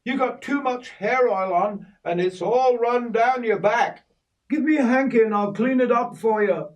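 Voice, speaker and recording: A man's voice: very high voice, unhurried, recorded from a distance, highs cut off, pitched above the performer's own voice. A man voicing a blunt, bossy middle-aged farm wife from the Outback. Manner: blunt, matter-of-fact.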